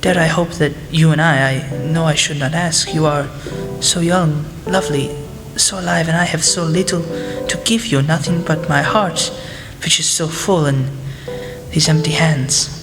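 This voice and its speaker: A woman acting in a student radio play as a gentle, humble older male professor, speaking in a halting, formal way. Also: low-pitched, fairly even intonation, natural voice. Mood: hesitant, pleading. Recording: reverberant hall with music behind.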